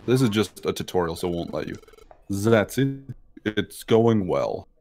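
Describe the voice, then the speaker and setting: A woman's voice: a bass voice, expressive, normal speed, darkish tone, a little hiss or hum. Swearing, chatty woman gaming streamer. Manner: chatty, swears, laughs, reads on-screen text aloud. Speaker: streamer playing a video game. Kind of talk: casual let's-play commentary.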